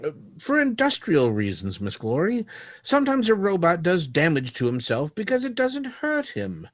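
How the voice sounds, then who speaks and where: A man's voice: high voice, wildly swooping pitch, moderate pace, no echo, clean studio sound. Man acting clinical scientist with swooping intonation. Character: clinical, coolly detached male robot scientist. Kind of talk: recreated 1930s radio drama.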